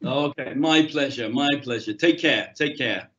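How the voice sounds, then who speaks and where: A man who is a guest speaker, wrapping up quickly and cheerfully over a video call. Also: medium pitch, fairly bright tone. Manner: quick, cheerful sign-off with laughs. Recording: dull lo-fi call audio.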